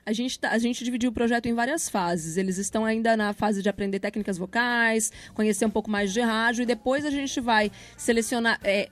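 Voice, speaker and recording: A woman's voice: a little high, steady tone, fairly quick, hardly any pauses. A Brazilian woman giving casual interview answers, explaining things in an informal, slangy way as a project leader and teacher.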